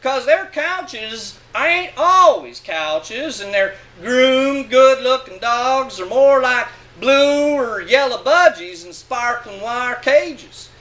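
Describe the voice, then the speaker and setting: A man's voice: very high voice, animated, slow pace, hardly any pauses, sharp tone. A man giving a dramatic, sardonic and emphatic reading of a literary monologue. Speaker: reader of a short story.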